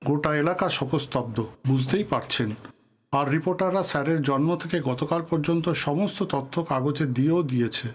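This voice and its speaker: An actor playing a touchy, authoritative veteran politician in an audio drama, formal and commanding in manner. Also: male voice, on the high side, fairly quick, close to an everyday voice. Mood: genial, commanding. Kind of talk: Bengali audio drama acting.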